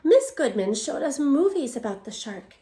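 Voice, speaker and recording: Woman teacher, bilingual read-aloud for young kids, slightly high voice, echoey room. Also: sing-song, mellow. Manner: reads in English then Spanish, pauses to ask children questions.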